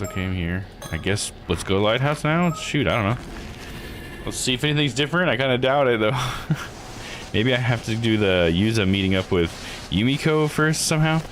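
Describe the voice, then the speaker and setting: A man's voice: fairly low pitch, background noise. A man live-streaming a visual novel, reading text aloud and musing casually as he plays. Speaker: game streamer.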